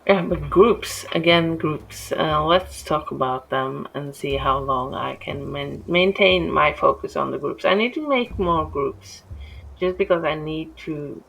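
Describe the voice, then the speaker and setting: A middle-aged Norwegian-accented woman commentates her building game at a slow, rambling pace. Her low voice has a gravelly fry. A faint hiss of noise sits under the talk. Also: fairly bright tone, poor audio. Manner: rambling, easily distracted, many restarts. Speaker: gameplay streamer. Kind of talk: casual let's-play commentary. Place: home.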